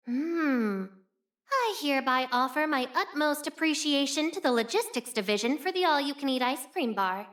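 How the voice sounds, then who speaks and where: A woman's voice: sits high, a bit bright. A woman voicing a proud, blunt young female fire warrior for a video game, with cool, cocky, nonchalant delivery. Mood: casual, confident. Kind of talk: scripted game character voice lines.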